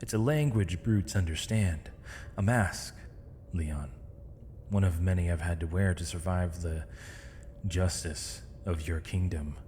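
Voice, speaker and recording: An actor playing a proud, weary captive mage in an ASMR roleplay, with eloquent, theatrical and measured formal diction. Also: male voice, deep voice, warm tone. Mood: earnest, persuasive. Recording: reverberant hall, faint noise, dull lo-fi sound.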